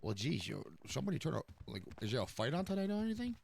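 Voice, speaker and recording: A man's voice: mid-range voice, sing-song, rapid-fire, creaky, husky. A man streaming improvised crime roleplay, talking in a profane, blustery wise-guy manner. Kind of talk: improvised in-character mob roleplay chat.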